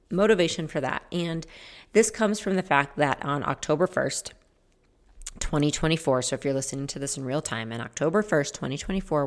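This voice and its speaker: A woman in her forties with a notably low-pitched voice, talking through a solo podcast episode. Her delivery is chatty and loose, wandering between thoughts and correcting herself as she goes. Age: 40s. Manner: chatty, rambling, corrects herself mid-sentence. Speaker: podcast host. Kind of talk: casual solo podcast talk.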